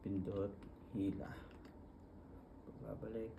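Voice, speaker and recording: A man with a Filipino accent giving a casual hands-on tutorial, talking informally and haltingly as he works through each step. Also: on the low side, fairly even intonation, vocal fry, phone-quality sound, noisy background. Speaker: vlogger demonstrating a product installation.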